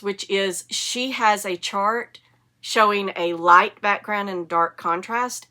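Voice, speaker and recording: A woman's voice: mid-range voice, bright, forceful tone. A woman, sniffly from a cold, hosting a casual knitting podcast in a chatty, enthusiastic, rambling way. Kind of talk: casual show-and-tell chat.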